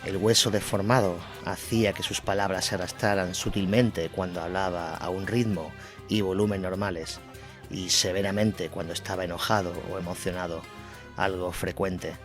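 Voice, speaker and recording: A man's voice: low-pitched, fairly even intonation, moderate pace. A man narrating a scripted war story in a dramatic documentary style. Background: music.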